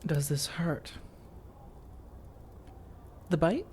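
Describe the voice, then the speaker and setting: Casting: a woman to play a seductive vampire lady for ASMR audio roleplay, warm low-lit timbre, soft-spoken, slow teasing pauses, whispery edges. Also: lively intonation, slight background noise, full character voice. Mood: calm, reassuring. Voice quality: soft, breathy delivery slipping into whispers. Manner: teasing, measured, intimate, with deliberate pauses. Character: flirtatious, coaxing female vampire seeking a roommate.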